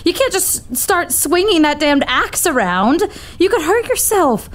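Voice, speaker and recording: A woman's voice: very high voice, sing-song, measured pace, full character voice. A woman playing an earnest, pleading assistant. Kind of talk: audio drama acting.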